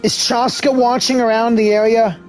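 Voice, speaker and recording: A man's voice: very high-pitched, flat delivery, moderate pace, soft background music. A man doing playful live voice-over for a video game, theatrical and exclamatory. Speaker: gaming video creator voicing over game dialogue.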